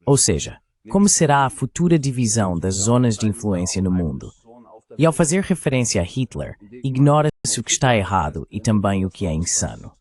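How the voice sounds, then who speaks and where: Man, slightly low pitch, translated panel guest, political interview commentary. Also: big pitch swings, moderate pace, a little mellow.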